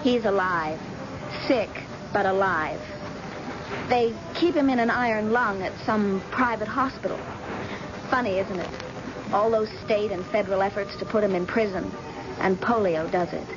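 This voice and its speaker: An actress playing a grave, bitter, guarded grown daughter in old-time radio drama, speaking in a deliberate, solemn way. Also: female voice, mid-range voice, lightly acted. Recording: dull, noisy old radio broadcast.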